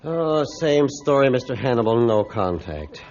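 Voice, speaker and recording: A man playing a grim, realistic ship's captain in a formal, deliberate, old-time radio drama style. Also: medium pitch, big pitch swings, darkish tone, faint music behind. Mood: grave, weary. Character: grim, realistic male captain of an icebound ship. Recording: dull, band-limited old radio recording.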